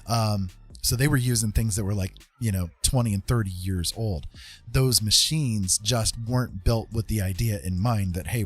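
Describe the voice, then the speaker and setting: An American man hosting a livestream, chatting casually and explaining things in an informal, halting, rambling way. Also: fairly low pitch, slightly rough. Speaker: livestream host chatting with viewers.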